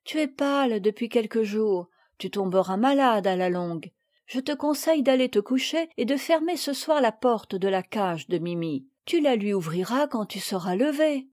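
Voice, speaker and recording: A woman reading an audiobook, voicing a calm, wise mother in a measured, gentle way. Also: on the high side, close to an everyday voice.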